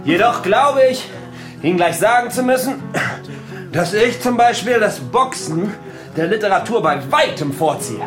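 An actor playing a self-important, provocative performance artist with a pompous, teasing manner in a radio drama. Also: male voice, high voice, expressive, normal speed. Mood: grandiose, declamatory. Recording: music under the dialogue.

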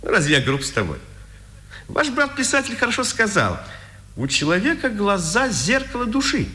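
An actor playing a mocking Nazi interrogator who feigns kindness in a needling, friendly way, in a 1950s Soviet radio drama. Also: male voice, high-pitched, wildly swooping pitch, normal speed, pushed and bright, full character voice. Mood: falsely caring. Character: smooth mocking male Nazi interrogator, falsely kind.

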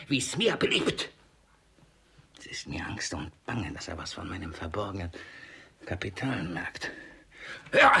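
An actor playing an irascible, suspicious old miser in a classic comedy radio play, scolding in erratic outbursts. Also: male voice, fairly high pitch, gravelly, sharp tone, heavily acted. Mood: paranoid, agitated.

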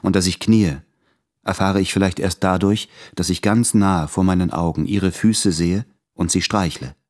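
An actor playing an anguished, self-doubting man in his late thirties, speaking in measured, introspective literary monologue in a radio drama. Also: sits low, steady tone, darkish tone, natural voice. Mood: solemn.

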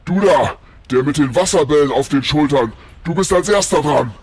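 A man's voice: fairly high pitch, lively intonation, moderate pace, a little mellow, dry recording, soft background music. A man playing a pompous demon lord of hell in a parody audio play, with grandiose, menacing villain speech that tips into whining.

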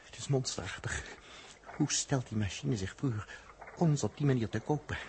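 A man's voice: moderate pace, slight background noise, lightly acted. An actor playing a defiant young space crewman, sarcastic and indignant, in a 1960s radio play. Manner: sarcastic and indignant, with lively swings of pitch. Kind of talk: 1960s radio drama acting.

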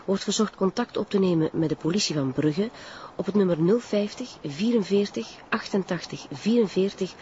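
A formal TV newsreader, played by a man, with a high-pitched voice. He reads out an official bulletin in measured, scripted phrases. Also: dark tone, muffled recording, a little hiss or hum, close to an everyday voice. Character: formal male television newsreader reading police appeal.

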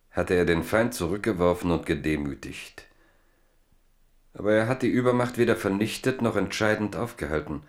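An actor narrating a historical radio play in standard German, as a grave chronicler with measured, deliberate phrasing. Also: male voice, sits low.

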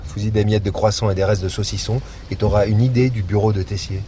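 An actor playing a sardonic, pragmatic police investigator with a dry, matter-of-fact delivery in a naturalistic audio drama. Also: male voice, lowish voice, fairly even intonation, quick pace, mellow, slight background noise, close to an everyday voice. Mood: wry. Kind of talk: naturalistic audio fiction dialogue.

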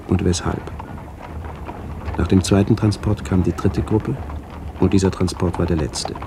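A middle-aged man reading a literary memoir for radio in a measured, sober way, with a gravelly voice. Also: deep voice, background noise. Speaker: author-narrator of a memoir radio play. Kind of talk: scripted literary narration, reminiscence.

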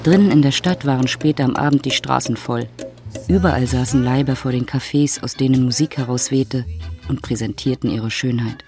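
A man's voice: medium pitch, moderate pace, over music. A man narrating a literary radio drama in a scripted storytelling style.

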